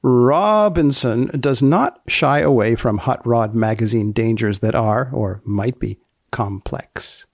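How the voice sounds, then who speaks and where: A male podcast host with a creaky, gravelly edge to his voice, recorded dry and close. He delivers his lines in a deadpan, mock-serious style with wry questioning. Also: medium pitch, takes it slowly, no room sound. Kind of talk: scripted podcast narration with playful questioning.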